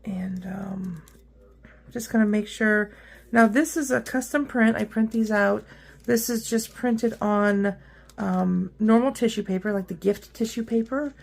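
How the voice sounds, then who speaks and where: Woman, craft demo host, hoarse rough voice, very gravelly, upbeat chatter, lots of ums. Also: medium pitch, unhurried. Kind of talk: casual how-to craft demonstration.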